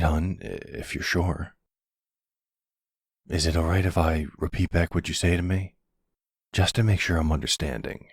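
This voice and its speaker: A man acts out a silver-fox mafia boss in an intimate ASMR roleplay. His voice is extremely low and husky, and he takes his time over each soothing phrase. He is tender and protective, heard close and dry. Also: no room sound, exaggerated voice. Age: middle-aged. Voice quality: gravelly, husky, breathy. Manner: soothing, unhurried, full of pet names.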